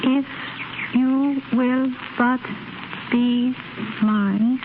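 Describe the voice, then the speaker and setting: An actress playing a young blind woman who talks softly and gently, with slight hesitations. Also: high voice, fairly even intonation, very slow, heavily acted. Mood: gentle. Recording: tinny noisy 1930s radio broadcast.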